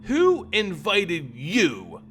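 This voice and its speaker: A man's voice: high voice, huge pitch swings, drawn-out words, fairly bright tone, soft background music, exaggerated voice. A man voicing a haughty, furious female vampire bride in an indignant, imperious manner for a fantasy story. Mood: snapping.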